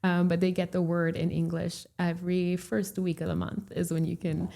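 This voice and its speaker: A woman in her thirties talks easily as a podcast guest, telling her story with lively animation and frequent laughter. Her voice sits low with a gravelly edge and a mellow, darker tone. She comes across as warm and chatty. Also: normal speed. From Puerto Rico. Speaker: real estate broker, podcast guest. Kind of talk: casual interview chat.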